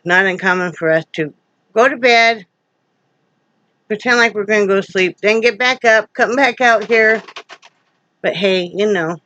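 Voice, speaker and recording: A woman's voice: mid-range voice, unhurried, long pauses, a bit bright. A middle-aged woman from Wyoming, wheezy with a sore throat, chatting in a folksy, rambling way with many fillers in her front room at home. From Wyoming, USA. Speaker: vlogger. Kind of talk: casual home vlog chat. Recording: dull lo-fi home recording.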